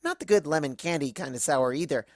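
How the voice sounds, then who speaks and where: A woman acts out a hyper, cheerful cartoon pony telling a rambling, gossipy story. The delivery is exclamatory and swings widely in pitch. The sound is dry, with hardly any room. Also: animated, fast, darkish tone, no room sound. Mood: chatty, rambling. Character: hyper, scatterbrained, cheerful young female cartoon pony narrator. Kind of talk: first-person comedic fan fiction narration.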